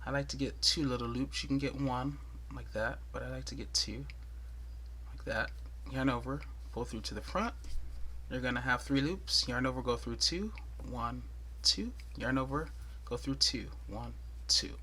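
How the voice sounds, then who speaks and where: Tutorial host, male, soothing voice, mid pitch, patient and chatty, counts stitches aloud. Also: moderate pace, sharp tone, slight background noise. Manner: thorough, chatty, with light laughs and counting aloud. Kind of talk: casual step-by-step tutorial.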